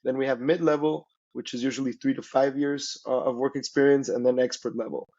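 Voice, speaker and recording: A man's voice: mid-range voice, fairly even intonation, a little fast, a little mellow, highs cut off. A man from Mexico, a native Spanish speaker, explaining conversationally as an interview guest, often checking in with "right?". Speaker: interview guest, company founder.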